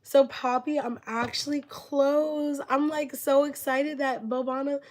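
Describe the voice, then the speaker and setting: A woman's voice: sits high, fairly even intonation, a little slow, darkish tone. A woman reading tarot on a live stream, rambling with many filler words and losing her voice from being sick. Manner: gushing thanks to viewers. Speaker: tarot reader.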